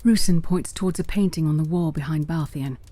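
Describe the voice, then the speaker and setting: A woman's voice: low-pitched, brisk, warm tone, no room sound. A woman narrating a fantasy story in a scripted, dramatic storytelling style. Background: faint music.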